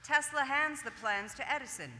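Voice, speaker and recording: A woman's voice: sits high, moderate pace, bright, forceful tone. A woman reading scripted stage directions as the narrator of a staged reading. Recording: reverberant hall, music underneath.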